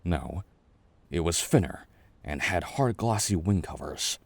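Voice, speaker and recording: Gentle, rambling older chess king played by a man, soothing ASMR roleplay, deep voice, very dry close sound, halting reassurance. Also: lively intonation, vocal fry, no echo, exaggerated voice. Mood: musing, fascinated.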